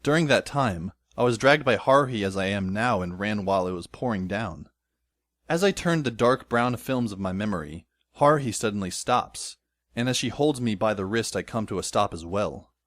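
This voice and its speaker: A man's voice: on the low side, expressive, moderate pace, fairly bright tone, no room sound. A man playing a wry, sardonic teenage schoolboy who narrates his adventure as dry, descriptive audio drama storytelling. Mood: wry narrating. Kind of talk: scripted first-person narration in audio drama.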